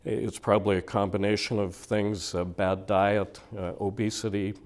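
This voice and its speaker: Low, raspy man lecturing with a cold. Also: little pitch movement, normal speed. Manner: conversational, explanatory lecturing to a live audience. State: slightly hoarse from a cold. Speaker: surgeon giving a public lecture. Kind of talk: public lecture. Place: lecture hall before an audience.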